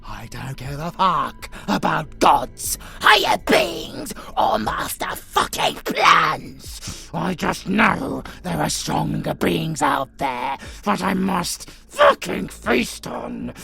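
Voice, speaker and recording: Ravenous creaky-voiced vampire monster, snarling and slow. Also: mid-range voice, big pitch swings, soft background music, exaggerated voice. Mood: enraged, taunting. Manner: snarling, taunting, profane, swinging between whispers and shouts. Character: ravenous, savage vampire abomination hungry for prey. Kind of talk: horror audio roleplay acting.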